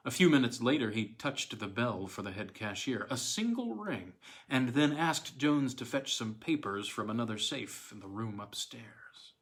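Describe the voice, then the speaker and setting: A man reads an old tale of the uncanny as an audiobook narrator. His voice sits at an ordinary middle pitch, and he takes the story slowly and deliberately, pausing for effect. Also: sing-song. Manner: measured, deliberate storytelling with dramatic pauses. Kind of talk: scripted narration of a classic horror tale.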